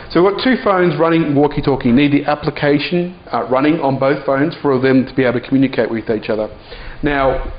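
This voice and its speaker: A man gives an informal, off-the-cuff product demo in a medium-pitched voice. He talks like an app reviewer walking viewers through features. The recording is dull and lo-fi, with clear noise behind him. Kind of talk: casual unscripted product demonstration.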